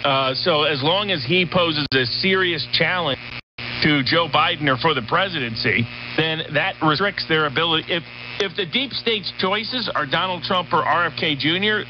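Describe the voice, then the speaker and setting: A man's voice: a little high, moderate pace. A man giving unscripted political analysis as an interview guest, emphatic and building his points in lists and repeated phrases. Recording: dull, noisy shortwave radio relay.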